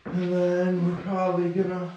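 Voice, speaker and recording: A man's voice: high-pitched, completely flat delivery, normal speed, dark tone, reverberant, lo-fi sound, a little hiss or hum. A young man chatting casually and swearing freely while filming a barn cleanup. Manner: laid-back, swears often, laughs a lot. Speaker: vlogger. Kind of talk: casual chat. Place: large wooden barn, indoors.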